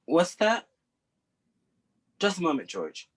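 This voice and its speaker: An actor playing a cold, businesslike criminal go-between in a 1940s radio drama, giving measured instructions. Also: male voice, a little high, huge pitch swings, heavily acted. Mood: coldly businesslike.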